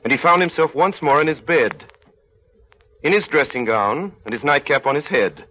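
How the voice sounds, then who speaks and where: A man narrating an old-time radio drama in a solemn, theatrical, measured manner. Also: a little high, animated, pushed and bright, a little hiss or hum. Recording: dull old radio recording with music.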